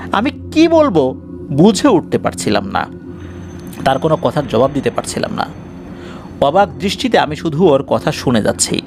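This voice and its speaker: A man's voice: sits high, background noise. A man narrating a scripted first-person ghost story in a dramatic way.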